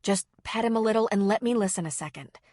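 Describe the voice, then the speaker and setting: A woman's voice: medium pitch, quick pace, darkish tone, no echo, studio quality, lightly acted. A woman voicing a practical adult woman who gives firm, urgent instructions.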